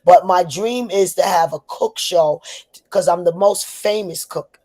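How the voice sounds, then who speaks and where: A woman's voice: lowish voice, measured pace, mellow. A woman from New York City calling into a panel for a casual chat, speaking familiarly with terms of endearment. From Harlem, New York City. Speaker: panel guest caller.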